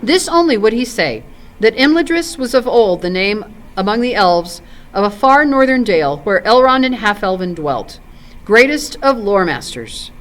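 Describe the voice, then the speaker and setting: A woman's voice: mid-range voice, very animated, normal speed, natural voice, poor audio. A woman voicing a proud, doubting nobleman warrior in formal, archaic storybook speech. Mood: earnest.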